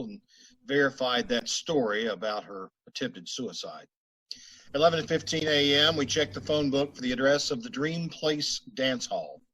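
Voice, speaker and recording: An amateur actor playing a police sergeant who narrates a case in a clipped, flat, report-like old-time radio style. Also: male voice, mid-range voice, husky, rough recording.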